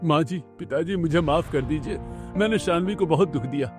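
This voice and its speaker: An actor playing a remorseful young husband in a cartoon, speaking in an earnest, pleading way. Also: male voice, fairly high pitch, brisk, lightly acted. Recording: music clearly behind the voice.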